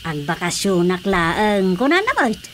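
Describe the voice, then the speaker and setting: A woman's voice: medium pitch, very animated, unhurried, fairly bright tone, a little hiss or hum. A woman playing a gossipy older village confidante in a Filipino radio drama comedy, teasing and exclaiming. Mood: curious.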